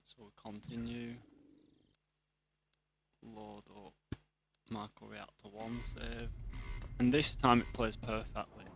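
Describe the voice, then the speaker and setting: A man with a fairly low voice gives casual gameplay commentary. His intonation stays very even as he talks viewers through things in an explanatory way. Faint game music plays behind him. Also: slow, creaky, pauses often. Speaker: gameplay video host. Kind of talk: casual let's play commentary.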